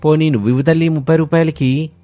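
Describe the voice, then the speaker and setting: A man's voice: fairly high pitch, steady tone, quick pace, warm tone, voice pushed up, close to an everyday voice. A man voicing a pushy, wheedling market customer in a story, bargaining insistently. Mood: insistent haggling. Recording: music behind the voice.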